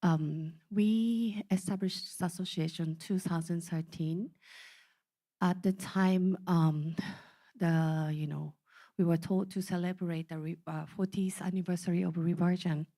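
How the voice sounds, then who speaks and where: A woman in her forties from Okinawa with a Japanese accent, giving a talk to a community gathering, halting and full of fillers. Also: fairly low pitch, steady tone, mellow. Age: 40s. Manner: halting, many fillers and restarts. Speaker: speaker.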